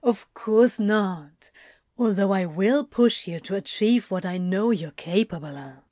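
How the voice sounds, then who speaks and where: Woman voicing a slow, teasing male exercise instructor. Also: mid-range voice, dark tone, no room sound, studio quality, natural voice. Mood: teasing, amused. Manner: playful, coaxing, firm. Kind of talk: acted audio fiction reading.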